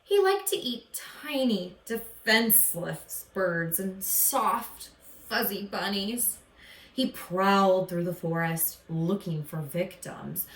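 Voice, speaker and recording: Animated woman reading a children's story, echoey. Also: mid-range voice, slow. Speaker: storybook reader. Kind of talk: expressive scripted children's story narration. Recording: echoey room, distant from mic.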